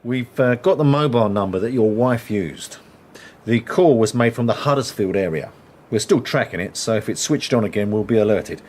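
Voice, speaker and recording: An actor playing a deferential, tactful police officer in a radio drama. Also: male voice, lowish voice, lively intonation, normal speed, a little mellow, slight background noise, lightly acted.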